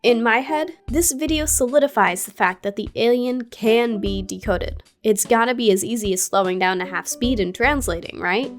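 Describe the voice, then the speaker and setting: Gaming YouTuber, woman, fairly high voice, curious and playful scripted narration over soft music. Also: moderate pace, few pauses. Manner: curious, playful, with casual asides. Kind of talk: scripted video essay narration.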